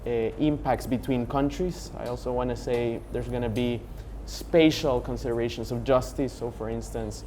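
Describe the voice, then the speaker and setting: A man's voice: mid-range voice, steady tone, normal speed, few pauses, warm tone, a little hiss or hum. Earnest young male panelist. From Honduras. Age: young adult. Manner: earnest, building points with for instance. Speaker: panelist, youth organization founder. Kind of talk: panel discussion.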